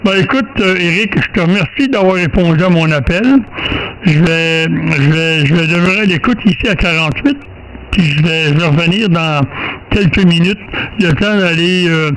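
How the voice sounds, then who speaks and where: A man's voice: a little high, normal speed, a bit bright, rough recording. A man with a Quebec French accent chats casually as an amateur radio operator, hesitating, repeating words and rambling along. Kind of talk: casual radio contact chat. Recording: amateur radio recording, faint static.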